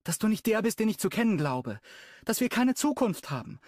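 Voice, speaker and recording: A man's voice: high voice, very animated, fairly quick, a little mellow, no echo, clean studio sound, voice pushed up. A man voicing a tearful young woman. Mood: pleading. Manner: pleading, emotional. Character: tearful young woman, vulnerable but proud.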